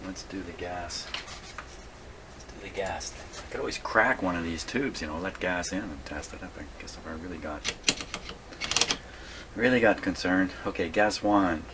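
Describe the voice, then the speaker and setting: A man's voice: deep voice, moderate pace, long pauses. A man hosting a hands-on electronics repair video in his workshop, casually talking through his work and muttering to himself. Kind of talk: casual hands-on demonstration, thinking aloud. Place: home radio workshop. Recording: clear background noise.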